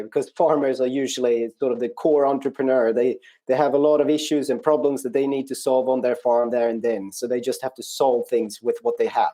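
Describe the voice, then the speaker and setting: A man with a Swedish accent, speaking conversationally as an interview guest, in a reflective, hesitant way with frequent pauses. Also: mid-range voice, mellow. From rural Sweden, outside Norrköping. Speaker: interview guest, non-profit country manager. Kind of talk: conversational personal account in an interview.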